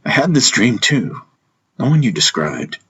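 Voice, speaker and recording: A man's voice: mid-range voice, sing-song, a little slow, sharp tone, breathy edge, pitched above the performer's own voice, full character voice. Man voicing a puzzled, suspicious fantasy companion. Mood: uneasy. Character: puzzled, suspicious male companion, freaked out by dreams. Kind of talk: fantasy audiobook character dialogue.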